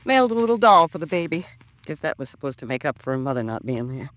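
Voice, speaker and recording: A woman's voice: deep voice, big pitch swings, fast, rough recording. An actress playing a bitter, resentful woman in a 1950s radio crime drama, talking in terse, clipped colloquial phrases. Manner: terse, clipped working-class colloquial talk. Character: bitter, resentful woman blaming her neglectful sister.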